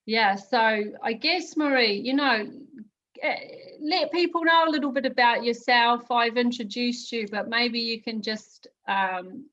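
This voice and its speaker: A woman's voice: a little high, unhurried, a bit bright. A woman hosting an interview, effusive and enthusiastic, often hedging with fillers. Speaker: host. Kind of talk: interview show chat. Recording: dull lo-fi audio.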